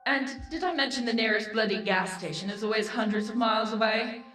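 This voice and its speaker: A woman's voice: fairly high pitch, a little fast, a bit bright, faint music behind, natural voice. Woman acting a haughty succubus, roomy and distant. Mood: sardonic, disdainful. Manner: sardonic, florid, condescending, swears freely. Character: haughty, seductive female succubus demon, sardonic and theatrical. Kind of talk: video game character acting.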